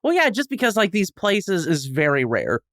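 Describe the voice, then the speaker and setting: Male podcast reviewer, slightly high voice, halting and rambling, joking casual chat, dry sound. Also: huge pitch swings, fairly bright tone, no echo.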